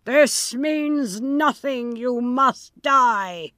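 A cruel, threatening nobleman, as a woman puts him on, drawing out his words slowly and loudly. The voice is raised, and the recording is dry and close. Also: high voice, no room sound, voice pushed up, exaggerated voice. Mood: menacing. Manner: slow, loud, threatening. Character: cruel menacing nobleman husband, male.

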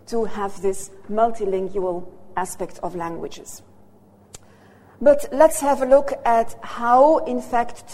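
A woman's voice: medium pitch, darkish tone. Flemish woman lecturer, English as third language, asks "hmm?" of the room, long hall reverb. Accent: Flemish Dutch. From Belgium. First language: Dutch. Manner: checks in with the audience through short "hmm?" and "yeah?" tags. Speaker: university lecturer.

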